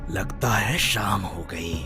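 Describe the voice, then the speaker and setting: A man's voice: lowish voice, slow. A man voicing a sinister, menacing snake that preys on birds in a children's cartoon. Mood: gloating, hungry. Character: sinister male snake stalking a bird to eat. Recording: loud music behind.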